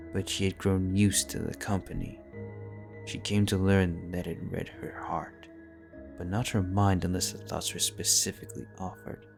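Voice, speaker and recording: A man narrating a fanfiction audiobook in a steady, measured storytelling voice. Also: sits low, creaky.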